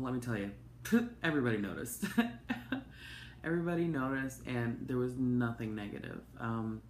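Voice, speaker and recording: A woman, hoarse from a cold, giving a chatty, open personal update to camera with plenty of ums and asides. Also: extremely low pitch, a little mellow. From Canada. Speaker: vlogger. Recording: echoey room with faint noise.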